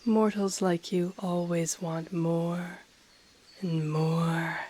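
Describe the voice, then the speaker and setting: An ancient, haughty dragoness in human form acted out by a woman speaks in an intimate audio roleplay. Her voice is lowish and breathy, often sinking into a whisper. She takes her time with each phrase, teasing and condescending in a seductive way. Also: flat delivery, warm tone. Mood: hushed, condescending. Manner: slow, deliberate, condescending, teasing. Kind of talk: intimate audio roleplay, scripted character acting.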